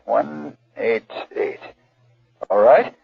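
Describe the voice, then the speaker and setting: Courteous auctioneer on tinny phone. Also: male voice, mid-range voice, extremely slow, gravelly, exaggerated voice. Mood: courteous, guarded. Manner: hedging, courteous. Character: polite, evasive male art auctioneer. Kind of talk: radio drama acting. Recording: tinny phone line in radio play.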